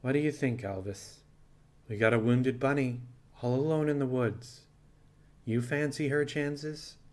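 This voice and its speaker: A man voicing a frank, thoughtful animal pirate captain with a level, folksy and deliberate way of talking. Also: medium pitch, natural voice. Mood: probing.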